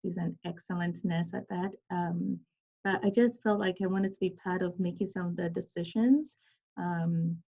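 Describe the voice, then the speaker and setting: A woman's voice: lowish voice, steady tone, normal speed, vocal fry, warm tone, breathy edge. A woman, a surgeon giving a conversational lecture over a video call with many ums and apologetic asides. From Ghana. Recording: dull lo-fi video call audio.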